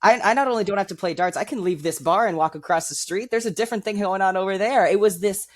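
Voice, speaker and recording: A man's voice: high voice, quick pace. A man co-hosting a podcast who talks reflectively, thinking aloud with fillers and working through an extended analogy. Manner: thoughtful, uses fillers, builds ideas through analogy. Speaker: podcast co-host. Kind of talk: reflective casual conversation.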